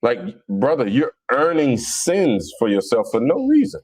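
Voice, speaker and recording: A man's voice: mid-range voice, wildly swooping pitch, measured pace, a little mellow, no echo. A man with a Philadelphia accent hosting a casual live religious debate, answering viewers in a blunt, colloquial, mocking way. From Philadelphia, United States. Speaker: livestream host.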